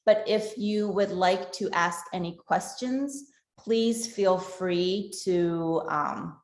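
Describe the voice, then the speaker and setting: A woman moderating a virtual panel discussion, friendly and halting with frequent fillers. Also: lowish voice, reverberant. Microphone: video call.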